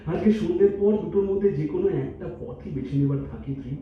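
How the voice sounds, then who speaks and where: A man's voice: high-pitched, fast, close to an everyday voice. A man playing a hurt, earnest young lover in staged Bengali audio drama, with a Kolkata accent and emphatic, emotive delivery. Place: multipurpose hall stage in Kolkata. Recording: echoey hall, distant, muffled, faint noise.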